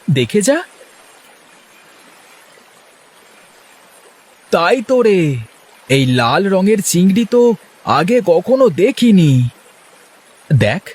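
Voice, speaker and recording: Man acting a high, husky village fisherman. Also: exaggerated voice. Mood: excited. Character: poor village fisherman, plain-spoken and excitable. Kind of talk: Bengali moral-story cartoon dubbing.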